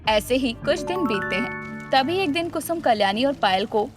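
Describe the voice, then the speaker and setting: A woman reads scripted story narration. Her voice is high and swings animatedly in pitch, carrying the tale along over quiet background music. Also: lively intonation, normal speed.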